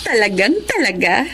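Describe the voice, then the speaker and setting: A woman's voice: medium pitch, bright, forceful tone, a little hiss or hum, heavily acted. An actress playing a seductive, money-minded escort in a Filipino radio drama, teasing and purring at her customer.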